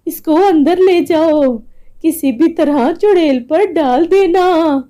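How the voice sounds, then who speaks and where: Lady doing kind old granny in a storybook, high soft-toned voice, slow n motherly. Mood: cautioning. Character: kindly helpful old village woman.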